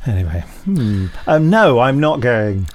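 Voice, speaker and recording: A man's voice: mid-range voice, sing-song, measured pace. A British man in casual podcast chat, jokey and hesitant, rambling through his answers. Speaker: podcast host.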